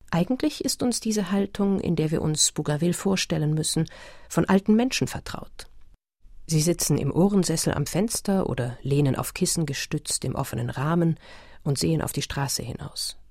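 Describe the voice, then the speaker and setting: A woman narrating a radio play in a measured, essayistic, literary manner. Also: sits low, darkish tone, slightly rough, dry recording, clean studio sound, exaggerated voice. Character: measured documentary narrator of explorer history.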